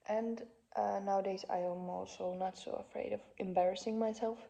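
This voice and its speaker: A woman in her twenties with a Finnish accent, talking in a soft, halting, unscripted vlog monologue from a couch at home. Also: mid-range voice, steady tone, creaky. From Finland. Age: 20s. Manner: soft-spoken, halting, frequent fillers. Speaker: vlogger. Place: parents' living room couch.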